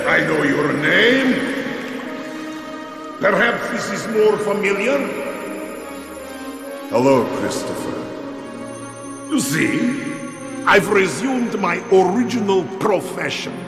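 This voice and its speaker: A man's voice: high-pitched, sing-song, slow pace, vocal fry, long pauses, full character voice. A man playing a smug, taunting villain in a video game, with a mocking, theatrical, gloating manner. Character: smug, taunting male Soviet villain unmasking himself. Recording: echoey hall with music behind.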